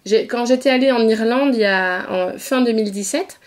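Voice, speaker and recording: A woman's voice: fairly high pitch, fairly quick. A woman from northeast France hosting a podcast interview, chatting in an easygoing way and often murmuring agreement. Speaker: podcast host. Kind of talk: casual conversational interview.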